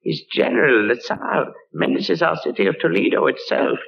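An actor playing a solemn, merciless Grand Inquisitor in an old radio drama, measured and declamatory. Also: male voice, on the high side, wildly swooping pitch, vocal fry, slight background noise, full character voice. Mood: stern, questioning. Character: solemn, commanding Spanish Grand Inquisitor priest, coldly merciless. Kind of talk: 1940s-50s radio drama acting. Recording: dull old radio recording.

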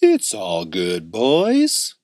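A man's voice: on the high side, huge pitch swings, measured pace, dry recording, studio quality, voice pushed up. A man voicing a laid-back uncle who teases the boys in an easygoing way. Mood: reassuring.

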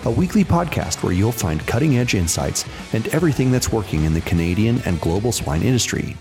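A man's voice: fairly low pitch, very animated, fairly quick. A man reading scripted promotional narration as an announcer, polished and emphatic. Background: music, guitar.

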